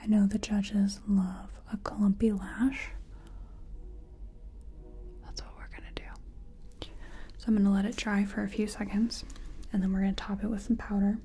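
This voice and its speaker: A woman doing a soft-spoken ASMR personal attention roleplay, murmuring gently in short phrases with a breathy whisper. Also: medium pitch, little pitch movement, moderate pace, long pauses. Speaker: ASMR creator role-playing a makeup artist.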